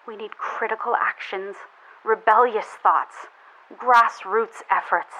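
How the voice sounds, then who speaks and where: A grim activist sending an appeal from a ruined Earth in a sci-fi audio drama. Her mid-pitched voice has a sharp, forceful edge, heard through a dull lo-fi transmission. She speaks gravely and deliberately, with defiant urgency. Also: a little hiss or hum. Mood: urgent appeal.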